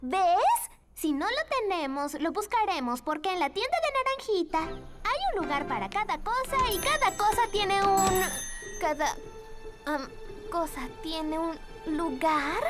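A very high, lively little girl. She is a proud, fussy cartoon shopkeeper who insists on order. Loud music plays behind her. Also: measured pace, full character voice. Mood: eager, proud. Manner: fussy, eager, insists everything is under control. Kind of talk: animated cartoon dub acting.

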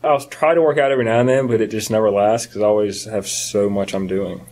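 A man's voice: on the low side, steady tone, fast talker, darkish tone. A man co-hosting a podcast in a casual, conversational style, explaining things and giving his opinions. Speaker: podcast co-host. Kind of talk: casual chat.

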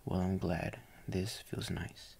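A young man playing a tender, doting boyfriend in a soft ASMR roleplay, gentle, coaxing and reassuring, with a breathy voice often near a whisper. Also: sits low, flat delivery, takes it slowly, full character voice. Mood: tender, soothing.

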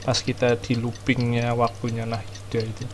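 A man's voice: medium pitch, completely flat delivery, a little fast. A man giving informal, slangy gameplay commentary as a streamer. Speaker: game streamer. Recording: loud game music behind the voice.

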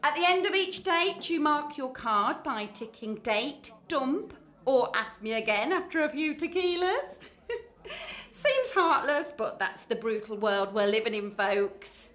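Actress doing bubbly bossy speed dating hostess, high voice, slow showy announcing, echoey room, radio play. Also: female voice, very animated, fairly bright tone, exaggerated voice. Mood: perky, teasing. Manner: showy, playful announcing with theatrical pauses. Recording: roomy, echoing sound.

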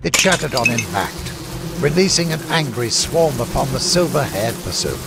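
Guy reading a fantasy adventure, gravelly mid voice, music behind him. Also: medium pitch, expressive, moderate pace. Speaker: audiobook narrator. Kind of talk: scripted dramatic fantasy narration.

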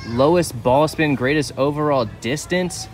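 A man's voice: on the high side, measured pace, soft background music. A man in his thirties hosting a casual vlog, rambling through store aisles with lots of fillers and self-corrections, recorded on a handheld camera indoors. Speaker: vlog host. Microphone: handheld camera held by the filmer.

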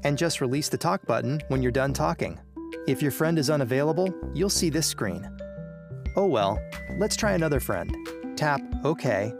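A man's voice: on the high side, lively intonation, normal speed, creaky. A man narrating a scripted step-by-step tutorial. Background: music.

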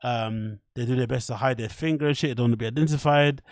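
A man with a mid-pitched voice talks into a close microphone in a dry room. He sounds like a livestream host giving casual commentary. His talk is opinionated, full of slang and freely sprinkled with swearing. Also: quick pace, no echo. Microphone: close desk microphone.

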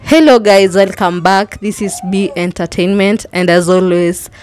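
A woman in her twenties with a Kenyan accent chats casually as a co-host. Her voice sits at an average pitch, and she slips between Swahili and English. Some light noise is audible on the handheld mic. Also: a little slow, darkish tone. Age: 20s. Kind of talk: casual chat. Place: indoor living room on a sofa. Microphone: handheld microphone.

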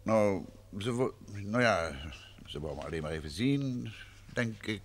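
An actor playing a courteous, composed gentleman detective in an old radio drama, measured in manner, on a noisy old recording. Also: lowish voice, animated. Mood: composed, polite. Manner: soothing, measured, probing.

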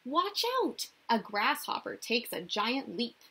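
A young woman reading a picture book aloud to small children like a teacher, expressive and sing-song, often putting questions to them. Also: on the high side, slow pace, husky. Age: young adult. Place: small indoor room.

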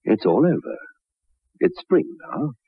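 An actor playing a scheming, outwardly helpful husband in a 1960s radio drama, with a raspy voice. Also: male voice, medium pitch, wildly swooping pitch, measured pace, no echo, full character voice. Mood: soothing, brisk. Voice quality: gravelly, raspy. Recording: muffled narrow-band old radio recording.

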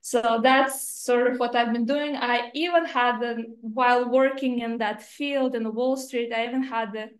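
A woman in her thirties with a Russian accent, speaking as a guest investor in a remote interview, with earnest, slightly halting phrasing. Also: fairly high pitch, steady tone. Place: home office indoors. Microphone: laptop camera on video call. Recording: roomy video-call audio.

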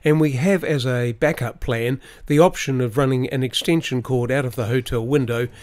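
A middle-aged man giving a calm, explanatory voice-over about planning a trip. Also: medium pitch. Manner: measured, explanatory. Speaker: vlogger. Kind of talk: scripted voice-over narration.

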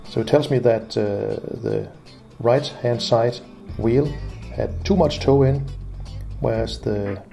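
Methodical male voice-over with a gravelly edge, steady mid pitch, music running underneath. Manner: calm, methodical, frequent "uh" pauses. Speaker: classic car owner explaining repairs. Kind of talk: narrated garage repair vlog.

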